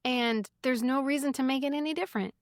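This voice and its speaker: A woman's voice: sits high, fast talker, dry recording, studio quality. A middle-aged woman co-hosting a podcast in a casual, conversational style. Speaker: podcast co-host.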